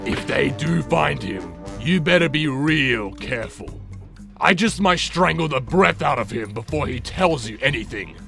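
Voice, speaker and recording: Gravelly, hot-tempered villain warrior. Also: male voice, medium pitch, animated, unhurried. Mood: menacing. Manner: snarling, threatening. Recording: music behind the voice.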